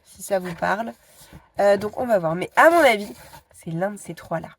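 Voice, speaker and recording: A woman's voice: mid-range voice, animated, moderate pace. A woman in her thirties with a cold, chatting casually to her phone camera at home, hesitant and full of asides. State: stuffy with a cold. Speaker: vlogger.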